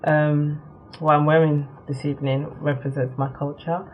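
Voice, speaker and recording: A young woman born in Nigeria, chatting casually to camera for her vlog, with many ums and quick laughs. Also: sits low, little pitch movement, highs cut off, slight background noise. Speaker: vlogger. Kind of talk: casual talk to camera, answering a tag.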